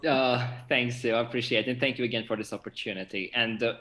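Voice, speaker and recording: A young man with a Portuguese accent speaks in a slightly low voice. He chats casually, often pausing to hunt for the right word. The sound is echoey, as from a room on a video call. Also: steady tone, sharp tone. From Madeira, Portugal. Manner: hesitant, searching for words. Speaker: interview guest, theatre actor and director. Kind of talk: casual chat.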